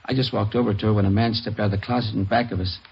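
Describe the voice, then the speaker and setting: Rattled husband talking to cops, gravelly voice, old muffled radio show. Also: male voice, lowish voice, little pitch movement, very fast, exaggerated voice. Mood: shaken. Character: shaken husband recounting his wife's shooting to police. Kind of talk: 1950s radio drama acting. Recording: dull old radio recording.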